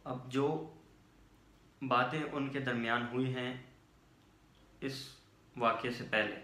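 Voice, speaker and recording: A man's voice: medium pitch, fairly even intonation, slow, bright, forceful tone. A Pakistani man giving an explanatory law lecture, methodically reading statutes aloud and explaining them, switching between English and Urdu. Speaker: law lecturer and channel host. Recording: roomy, echoing voice recording.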